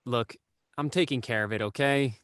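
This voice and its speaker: A man's voice: medium pitch, normal speed, a bit bright, dry recording, clean studio sound, natural voice. An actor playing a brash, broke young man, a protective older brother who talks bluntly and swears. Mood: gruff. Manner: blunt, crude, defensive.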